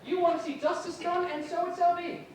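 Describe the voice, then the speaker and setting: A woman's voice: very high voice, steady tone, fairly quick, a little mellow. An actress playing a fond and solemn elderly woman who speaks formally. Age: older. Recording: very echoey, distant mic, background noise.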